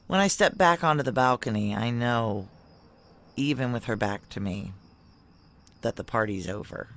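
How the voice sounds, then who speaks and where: A woman's voice: very deep voice, normal speed. An actress playing a tough, blunt, world-weary female security operative in a noir audio drama, speaking in terse, salty phrases. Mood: weary, brooding. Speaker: lead actor and narrator in an audio drama. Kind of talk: noir audio drama acting with intimate first-person narration.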